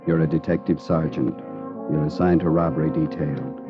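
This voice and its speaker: A man's voice: extremely low pitch, steady tone, moderate pace. A man with an American accent delivering terse, grave narration in 1950s crime radio style. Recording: dull old radio recording. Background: loud dramatic music.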